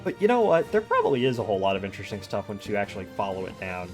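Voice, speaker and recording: An American man with a lowish voice chats while he plays a game. He thinks out loud in long tangents. Faint game music plays under his talk. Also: very animated, very fast. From United States. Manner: musing, thinks aloud in long digressions. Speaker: streamer. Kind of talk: casual chat while gaming.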